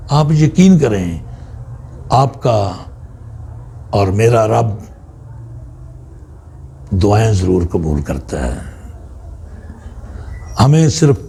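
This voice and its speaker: Slow, mellow Pakistani man preaching. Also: medium pitch, vocal fry, slightly rough. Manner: deliberate, halting phrasing with pauses. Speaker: Islamic spiritual guide. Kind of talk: religious teaching talk.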